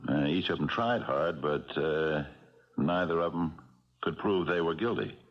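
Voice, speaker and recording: A man playing an older, haunted retired American policeman, speaking haltingly in 1970s radio mystery style. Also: a bass voice, full character voice. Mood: wry, hesitant. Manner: halting, hesitant, colloquial. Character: Jerry Roman, old retired policeman, wistful, haunted storyteller. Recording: dull 1970s radio broadcast, music behind.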